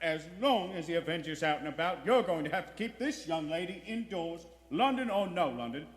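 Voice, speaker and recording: An actor plays a genial, fussing family father in an old radio drama on a reverberant stage. His voice is high and very lively in pitch, with an affable, apologetic manner. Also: fairly quick, close to an everyday voice. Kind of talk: live vintage radio play acting. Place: theater stage before a live audience. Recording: hall reverb of a live theater.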